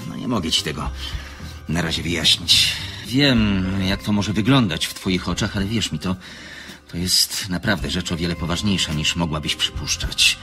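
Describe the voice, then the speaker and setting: A man's voice: low voice, moderate pace, bright, forceful tone, soft background music. An actor playing a secretive, courteous man in a radio play, with a fine announcer's voice and an earnest, formal, hesitant manner. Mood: distraught, apologetic. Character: secretive man, courteous, evasive, apologetic, guarded about his work.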